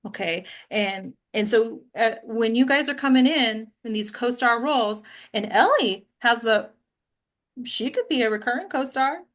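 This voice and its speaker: A woman's voice: on the high side, animated, unhurried, fairly bright tone. A woman leading an informal group discussion as an acting coach, speaking in an encouraging, emphatic way with frequent check-in questions.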